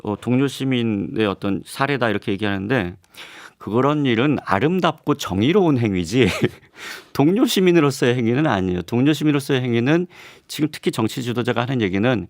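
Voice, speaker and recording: Man, professor guest, studio radio interview, measured and explanatory, some fillers, dry close mic. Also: medium pitch, lively intonation, no echo. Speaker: political science professor guest. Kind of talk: radio current-affairs interview. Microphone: studio microphone.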